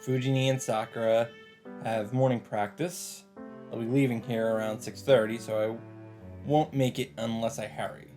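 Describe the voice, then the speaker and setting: A man hosting a let's play, reading visual novel narration aloud in a measured, dramatic way with asides. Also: medium pitch, steady tone, dark tone. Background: guitar game music.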